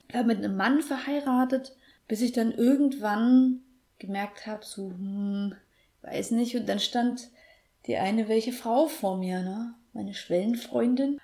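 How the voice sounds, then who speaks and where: A middle-aged woman co-hosting a casual podcast chat, telling her story in a reflective, hesitant way with many pauses and fillers. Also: medium pitch, creaky, dark tone. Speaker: podcast co-host.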